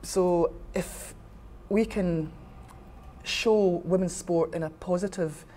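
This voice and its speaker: A woman's voice: lowish voice, slow, mellow, slight background noise. A middle-aged woman from the west coast of Scotland with a west of Scotland accent, speaking candidly and with firm opinions in an informal interview. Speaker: interviewee, rugby official.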